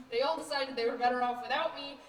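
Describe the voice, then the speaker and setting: A woman's voice: high-pitched, fairly even intonation, a little fast, close to an everyday voice. A young woman acting a guarded, defensive, lonely character in a student stage play. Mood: guarded, hurt. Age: young adult. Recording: echoey room, far from the mic.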